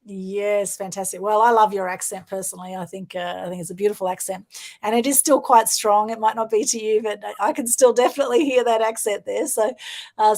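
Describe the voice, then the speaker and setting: A woman's voice: mid-range voice, darkish tone. A woman hosting an interview over a video call, full of enthusiastic praise. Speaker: show host.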